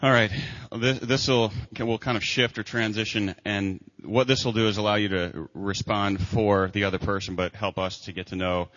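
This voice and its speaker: Deep-voiced interviewer in a reverberant hall. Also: male voice, steady tone, moderate pace, few pauses, a bit bright. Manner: hesitant, repeating words as he frames questions. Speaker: church interviewer. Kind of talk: interview questions. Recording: dull sound with long hall reverb.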